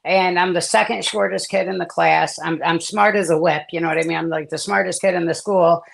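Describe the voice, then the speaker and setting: A man with a gravelly, fry-laden voice tells his story in a casual, meandering way, circling back on himself with fillers. He was born in South Korea and raised in the US, and is speaking as a guest on a live stream. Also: a little high, fairly even intonation, brisk, fairly bright tone. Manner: rambling, many fillers and restarts.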